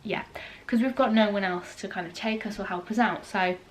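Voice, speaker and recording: A woman's voice: mid-range voice, brisk. A British woman in her thirties, nasal and bunged up with a cold, tells a long story straight to camera. She rambles along with ums and side remarks in an ordinary mid-range voice. A faint hum of room noise sits behind her. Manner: chatty storytelling with frequent ums and asides. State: congested with a cold. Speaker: vlogger. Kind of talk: casual chat to camera.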